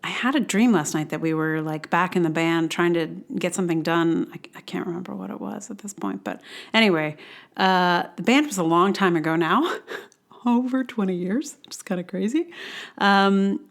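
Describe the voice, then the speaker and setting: A middle-aged woman hosting a podcast solo in casual, rambling talk that often interrupts itself and apologizes. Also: medium pitch. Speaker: podcast host.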